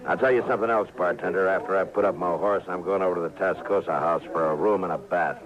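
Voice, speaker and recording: Male actor as hard, curt frontier marshal, very low voice, radio western, muffled vintage recording. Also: steady tone, fast talker, a crowd in the background, natural voice. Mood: hard, commanding. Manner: curt, authoritative. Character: tough, terse, unbending US marshal. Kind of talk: old-time radio western acting. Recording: dull old radio recording.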